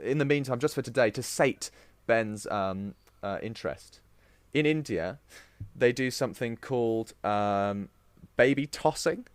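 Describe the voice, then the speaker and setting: A man's voice: mid-range voice, measured pace, few pauses, clean tone, no echo. Man, wry joking podcast host, dry close mic. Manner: wry, laughs at his own jokes. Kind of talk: casual banter, reading out a story.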